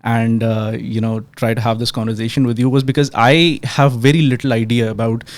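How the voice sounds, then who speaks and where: Young Pakistani-born podcast host. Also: male voice, mid-range voice, flat delivery, a little fast. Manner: scripted intro, then hesitant conversational questions with restarts. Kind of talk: podcast interview.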